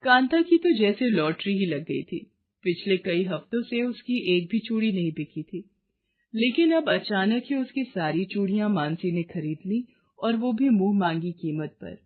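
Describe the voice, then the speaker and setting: A woman's voice: mid-range voice, big pitch swings, moderate pace. Woman narrating stories, phone-like sound. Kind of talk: scripted moral-story narration.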